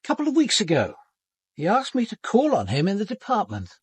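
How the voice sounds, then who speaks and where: An actor playing a grave, authoritative senior police detective in a British radio drama, speaking in a measured, articulate British accent with dry understatement and a husky voice. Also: male voice, high-pitched, huge pitch swings, no room sound, full character voice. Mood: measured, reflective. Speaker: lead actor and narrator. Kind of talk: radio drama acting and narration.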